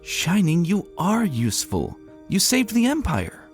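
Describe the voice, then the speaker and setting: A man's voice: sits high, huge pitch swings, a little slow, soft background music, pitched above the performer's own voice, heavily acted. A man voicing a caring adult female pony, a soothing and affectionate wife in a dramatic story reading. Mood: gently reassuring.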